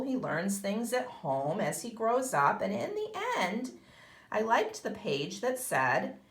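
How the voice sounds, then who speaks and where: Lady kindergarten teacher explaining a story to little kids, super sing-song, unhurried, echoey room. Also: mid-range voice. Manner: explanatory, simple phrasing, emphatic. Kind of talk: read-aloud lesson for young children.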